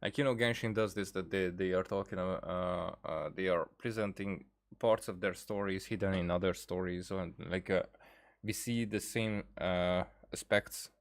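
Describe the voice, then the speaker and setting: A man's voice: low-pitched, fairly even intonation, moderate pace. A man giving casual, rambling game commentary, thinking aloud hesitantly and often repeating words as he goes. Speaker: gaming video creator.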